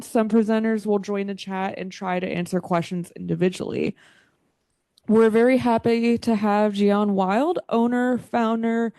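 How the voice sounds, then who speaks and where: A woman's voice: fairly high pitch, warm tone, dry recording. A woman moderating a session, reading a scripted introduction word for word in a formal manner.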